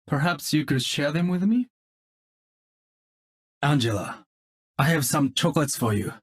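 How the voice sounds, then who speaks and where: A man voicing a polite, considerate cyborg ninja from a video game, husky and measured. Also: on the high side, lively intonation. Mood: tender, polite. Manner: measured, courteous. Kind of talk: video game voice line acting.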